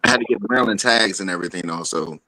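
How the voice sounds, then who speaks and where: A man telling a personal story casually, halting and repeating himself as he goes. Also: sits low, sharp tone, dry recording, poor audio.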